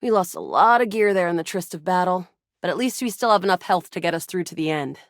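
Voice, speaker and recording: A woman's voice: lowish voice, sing-song, fast talker, no echo, studio quality. A woman voicing a cocky young female space soldier in a video game, brash, dramatic and self-assured. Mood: matter-of-fact. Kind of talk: video game character dialogue.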